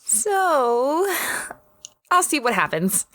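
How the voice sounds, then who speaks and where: A woman's voice: sits high, sing-song, very slow, a bit bright, no room sound, exaggerated voice. A woman playing an apologetic young female space adventurer in an audio drama, hesitant and self-effacing. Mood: reflective. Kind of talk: audio drama dialogue and diary-like narration.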